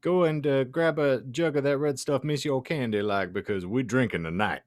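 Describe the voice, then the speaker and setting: A man's voice: mid-range voice, normal speed, no room sound, clean studio sound. A man hosting a comedy podcast, talking in a theatrical, wordy, sarcastic and foul-mouthed way. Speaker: podcast host reading fan fiction aloud.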